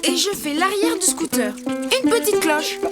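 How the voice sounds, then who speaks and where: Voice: very high voice, animated, a little slow. An actor voicing a helpful young cartoon animal who cheerfully and reassuringly explains drawing step by step for children. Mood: upbeat, instructive. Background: music.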